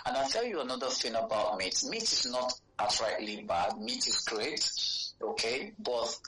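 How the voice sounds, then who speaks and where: A man's voice: mid-range voice, very animated, moderate pace, sharp tone. A man with a Nigerian accent explaining health advice as a dietitian in an interview, teaching step by step and checking that he is followed. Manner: didactic, checks understanding with "okay" and "you know". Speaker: dietitian guest. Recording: roomy, echoey sound.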